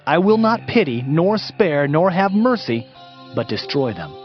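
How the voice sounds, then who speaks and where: An actor playing a grave, lamenting Hebrew prophet in a solemn, declamatory dramatized Bible reading. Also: male voice, on the high side, big pitch swings, unhurried. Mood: stern. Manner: solemn, declamatory, archaic phrasing. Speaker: dramatized scripture reader. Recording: dull audiobook sound with music underneath.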